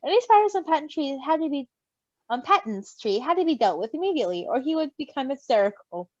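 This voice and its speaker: High, animated woman reading a story. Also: fast, no echo, dull audio. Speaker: story reader.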